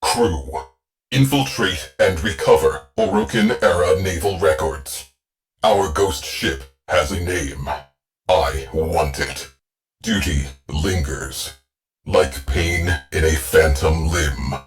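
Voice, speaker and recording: A man voicing a terse male ship AI in a video game, speaking in clipped, deadpan military phrases. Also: low voice, slow, faint music behind.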